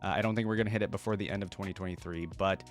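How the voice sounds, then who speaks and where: A man's voice: deep voice, fairly even intonation, rapid-fire, music in the background. A man talking casually to his viewers as a video host, rambling along with informal fan enthusiasm.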